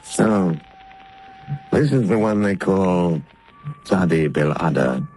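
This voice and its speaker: A smooth rich gentleman from an old radio show, lowish voice, bit of hiss. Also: animated, takes it slowly, rough recording. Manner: smooth, urbane and assured. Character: suave wealthy gentleman man-about-town. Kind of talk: 1930s radio drama acting.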